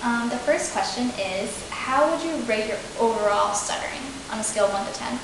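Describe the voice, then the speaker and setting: A young woman with a fairly high voice asks structured intake questions as a trainee clinician. She is calm and measured, and acknowledges each answer with a quick "okay". The room is very echoey, with a steady hiss. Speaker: student clinician interviewing a client. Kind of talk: scripted clinical interview.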